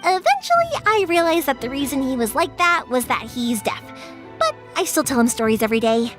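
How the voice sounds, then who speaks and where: Casting: a high, sparkly, upbeat young woman, lively swings, soft music under. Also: brisk. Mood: cheerful. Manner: lively, chatty storyteller, affectionate. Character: bubbly young woman fireworks maker. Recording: game audio with music behind.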